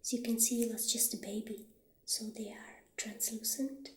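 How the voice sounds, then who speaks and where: A woman speaking softly and breathily, often near a whisper, gently playing a friendly witch shopkeeper in an ASMR roleplay. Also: fairly high pitch, level tone. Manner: gentle, unhurried, halting. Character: friendly witch shopkeeper showing potion ingredients. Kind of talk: soft-spoken ASMR roleplay. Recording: roomy echo, faint background noise.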